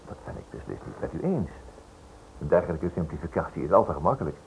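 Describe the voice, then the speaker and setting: Hesitant, lively-pitched male writer, noisy recording. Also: quick pace, vocal fry, full character voice. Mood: earnest. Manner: hesitant, polite, stammering over words. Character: inquisitive, hesitant writer probing a criminal case. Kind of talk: Dutch radio drama acting. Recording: noisy old radio drama recording.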